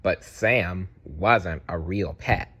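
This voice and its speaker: A man narrating a children's storybook aloud in an expressive, deliberate way. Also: low-pitched, husky.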